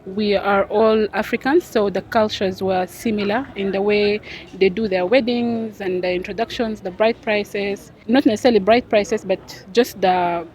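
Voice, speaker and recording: Young woman, Arabic-English, measured and reflective interviewee. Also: medium pitch, fairly even intonation, a little mellow, a little hiss or hum, poor audio. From South Sudan. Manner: thoughtful, unhurried, with pauses and fillers. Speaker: street interviewee. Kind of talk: casual interview answers.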